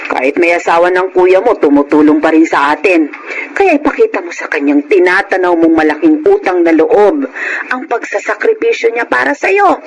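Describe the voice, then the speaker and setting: A woman's voice: lowish voice, lively intonation, normal speed. A faintly noisy radio drama voice, a Filipino mother of grown sons. She is firm and admonishing, earnestly nagging her children.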